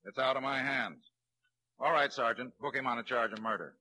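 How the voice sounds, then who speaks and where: An actor playing a shrewd police lieutenant in a crime drama, a probing interrogator who can sound falsely kindly. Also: male voice, mid-range voice, fairly quick, heavy background noise, natural voice. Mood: coaxing. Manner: probing, soothing then blunt. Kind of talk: dramatic film-noir crime acting.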